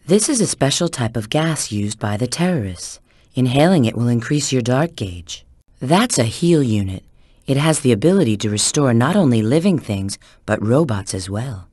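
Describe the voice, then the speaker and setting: A woman's voice: a bass voice, a little mellow, dry recording, studio quality. An actress playing a sly, confident female spy in a video game, giving scripted hints in a cool, assured, instructive way.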